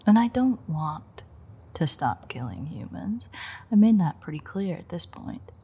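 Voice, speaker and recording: Actress as female vampire mechanic for audio roleplay: lowish, gravelly, dry, playful and unrepentantly predatory. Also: sing-song, a little slow, mellow, heavily acted. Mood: defensive, wry. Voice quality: husky, gravelly, breathy. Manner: dry, teasing, sarcastic. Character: teasing, sardonic female vampire mechanic, unrepentant man-eater. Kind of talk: audio roleplay acting, intimate one-sided dialogue.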